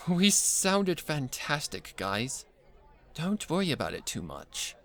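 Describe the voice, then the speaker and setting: A man's voice: fairly high pitch, very animated, slow pace, fairly bright tone, some chatter behind, voice pushed up, heavily acted. Man as a composed, lightly raised mare voice. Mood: reassuring. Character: composed, kindly female pony musician, older-sister type.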